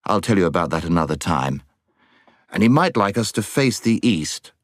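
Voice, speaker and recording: A man's voice: sits low, big pitch swings, moderate pace, dry recording, studio quality. A man voicing a solemn, know-it-all schoolboy with an English accent in an audiobook. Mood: earnest, solemn. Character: earnest, slightly bossy English schoolboy. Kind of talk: audiobook character voice.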